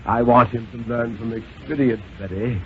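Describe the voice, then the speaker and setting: Small resigned boy, played by a man, quietly stubborn, on a thin, noisy vintage radio recording. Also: mid-range voice, moderate pace, narrow, tinny audio, pitched below the performer's own voice, close to an everyday voice. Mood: subdued. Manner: hesitant, small, flat, resigned. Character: six-year-old boy, subdued, quietly stubborn, weary. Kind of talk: 1950s radio dramatic reading.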